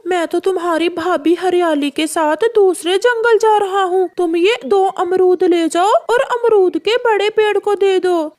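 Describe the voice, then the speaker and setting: A woman's voice: very high-pitched, fairly even intonation, a little slow, hardly any pauses, a little mellow. A woman voicing a friendly, gullible male parrot in a children's cartoon story, speaking in an earnest, plaintive way. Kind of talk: animated children's moral story voice acting.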